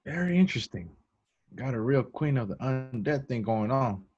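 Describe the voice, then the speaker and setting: A man's voice: mid-range voice, lively intonation, moderate pace, a little mellow, breathy edge, lo-fi sound. A man playing a wisecracking agent who bluffs as a doctor, hesitant, in an informal script read. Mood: eager.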